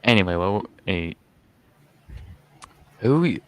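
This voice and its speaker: Deep voiced guy just hanging out talking in a stream call. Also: animated, slow, a bit bright, no echo. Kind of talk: casual voice-chat banter.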